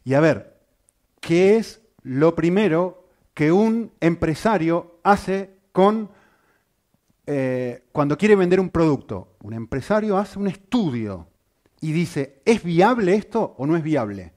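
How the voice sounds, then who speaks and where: Animated preacher. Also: male voice, a little high, takes it slowly. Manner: animated, rhetorical questions to the congregation. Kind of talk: sermon.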